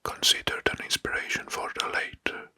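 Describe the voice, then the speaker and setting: A man narrating history as an ASMR host in a breathy whisper, slowly and deliberately, repeating words, close to the mic. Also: pushed and bright. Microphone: close microphone.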